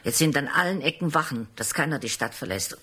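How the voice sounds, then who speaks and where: An elderly woman in a radio play, worried and confiding. Her voice is low for a woman, very husky, with a tense, sharp edge. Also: expressive, a little fast, exaggerated voice. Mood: anxious. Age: older. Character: elderly lady bringing grim news, worried, confiding. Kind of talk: radio drama acting.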